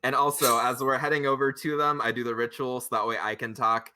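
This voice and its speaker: Bright-voiced, talkative man gaming. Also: mid-range voice, steady tone, brisk, soft background music. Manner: talkative, cuts in on others. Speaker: player in a tabletop roleplaying game. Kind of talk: casual game chat.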